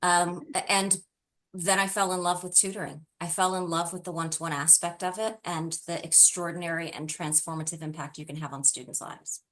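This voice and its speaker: Earnest middle-aged woman, slightly low voice. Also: steady tone, a little fast, a bit bright. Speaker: interview guest, tutoring business founder. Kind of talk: conversational interview answers.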